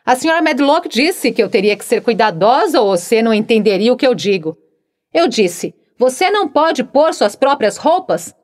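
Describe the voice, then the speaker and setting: A good-humored young housemaid talks frankly and matter-of-factly, as a woman narrator puts her on. Her voice sits fairly high and moves lively through each sentence. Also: moderate pace. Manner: frank, cheerful, matter-of-fact.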